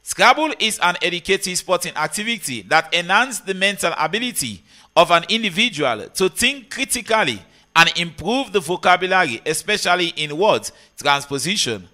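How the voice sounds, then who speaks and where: A man's voice: sits high, very animated, moderate pace, pushed and bright. A man, narrating a scripted news report as a sports correspondent.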